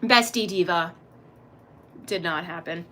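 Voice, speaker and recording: A young woman live-streaming, chatting casually and reacting to her chat in a rambling, slangy, animated way. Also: fairly low pitch, measured pace, slight background noise. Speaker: livestreamer reading chat.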